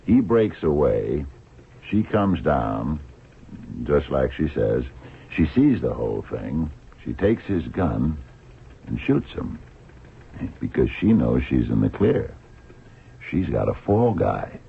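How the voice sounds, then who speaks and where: An actor playing a shrewd, dogged blind investigator in a radio drama, gravelly and husky, probing with hesitant, murmured questions. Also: male voice, a bass voice, big pitch swings, takes it slowly, slight background noise, exaggerated voice. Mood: insistent. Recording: thin, phone-like old radio recording.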